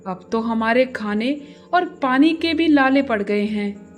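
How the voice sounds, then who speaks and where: A worried forest bird in a cartoon fable, voiced by a woman, speaks slowly in a fairly high voice. The delivery is grave and serious. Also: animated, faint music behind. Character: worried, serious forest birds and their king.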